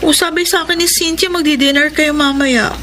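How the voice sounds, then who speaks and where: A woman's voice: sits high, fairly even intonation, brisk, sharp tone, slight background noise. A woman playing a chatty friend who pleads with an older man in a radio drama. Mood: pleading. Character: cheerful, worried younger friend.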